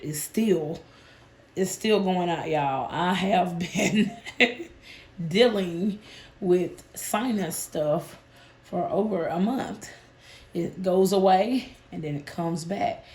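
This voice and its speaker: Woman, hoarse and nasally, lowish voice, echoey room, chatty, keeps saying y'all. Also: takes it slowly, darkish tone. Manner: chatty, addresses viewers as "y'all". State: hoarse and nasal from a sinus illness. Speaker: budgeting channel host. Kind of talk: casual chat to viewers.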